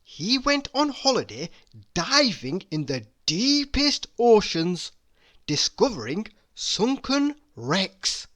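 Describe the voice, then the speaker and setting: A storybook reader narrating for children in a deliberate, expressive way, drawing out key words for emphasis. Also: mid-range voice.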